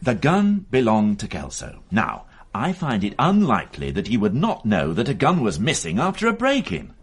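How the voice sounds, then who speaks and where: A shrewd police detective in a mystery radio drama speaks in a low, husky voice. His pitch swoops up and down a great deal as he probes and needles. His manner is dry and sardonic. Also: huge pitch swings, moderate pace, exaggerated voice. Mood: insistent. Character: Ronnie, a wry, shrewd male police detective.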